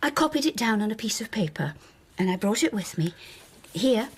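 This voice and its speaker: An actress playing a matter-of-fact, gossipy hotel chambermaid, wary but helpful, confiding in a radio drama. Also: female voice, medium pitch, sing-song, brisk, slightly rough.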